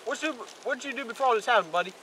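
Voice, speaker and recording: Young male with a high voice, casual hesitant line readings, clear outdoor background noise. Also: expressive, rapid-fire. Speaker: amateur actor in film outtakes. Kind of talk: rehearsing scripted dialogue, casual. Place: outdoors in woods. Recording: noisy outdoor camera recording.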